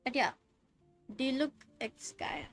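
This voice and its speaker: A woman's voice: high-pitched, lively intonation, takes it slowly, soft background music. A woman with an Indian accent giving casual game livestream commentary, slangy, teasing and joking as she reacts.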